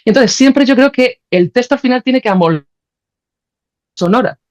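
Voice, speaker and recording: A woman with a Spanish accent from Spain, explaining things in a casual, animated, colloquial way as a podcast guest, often checking that she is followed. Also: on the high side, normal speed. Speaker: podcast guest, sound artist.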